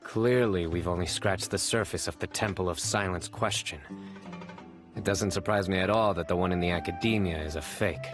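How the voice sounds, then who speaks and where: A stoic young lawman, played by a man in a video game, with a very low voice. He speaks in a measured, deadpan and formal way over soft music. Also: natural voice. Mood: grave, pensive. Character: stern, stoic young male law enforcer, reserved.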